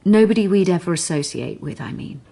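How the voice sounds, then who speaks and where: An actress playing an aloof, snobbish wealthy widow in a radio drama, cool, languid and evasive. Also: female voice, on the low side, animated, brisk, gravelly, dark tone. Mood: cool, detached.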